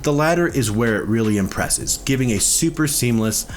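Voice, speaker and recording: A man's voice: mid-range voice, lively intonation, moderate pace, a little hiss or hum. A man reading a scripted product review as a narrator and reviewer.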